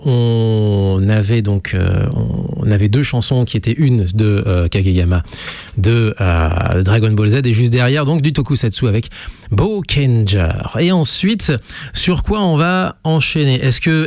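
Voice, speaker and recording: A man hosting a radio interview show, asking his guest questions in a relaxed way. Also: medium pitch, no echo. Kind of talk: casual interview.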